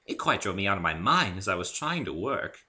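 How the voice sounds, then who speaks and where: A man voicing a refined, gossipy female unicorn fashion designer in a story reading, posh and dramatic with drawn-out emphasis. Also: sits low, very animated, pushed and bright, exaggerated voice. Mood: brisk, polite.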